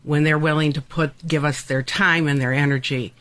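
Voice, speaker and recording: A man's voice: on the high side, steady tone, moderate pace. A man who volunteers at a theatre, speaking with eager enthusiasm in a casual interview. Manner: warmly enthusiastic, praising.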